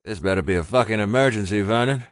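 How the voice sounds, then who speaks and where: A man voicing a gruff, commanding adult male wolf shifter who speaks loud and husky. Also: fast talker, no echo, clean studio sound, close to an everyday voice. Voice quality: deep husky voice.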